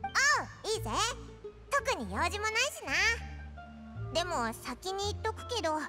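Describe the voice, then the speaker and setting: A tiny, excitable fairy sidekick pipes up in an extremely high childlike voice. The pitch swoops around with cute bluntness, over clear game music. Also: female voice, normal speed. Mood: eager, cheeky. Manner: cute, blunt, boyish wording. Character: tiny excitable floating fairy companion, childlike and food-loving. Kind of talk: Japanese game voice acting.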